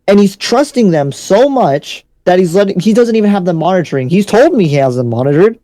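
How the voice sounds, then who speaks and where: A man's voice: sits high, dark tone, dry recording, rough recording. A man playing a blunt, paranoid demon slayer in an improvised anime game roleplay, speaking conversationally but insistently. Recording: background music under the voice.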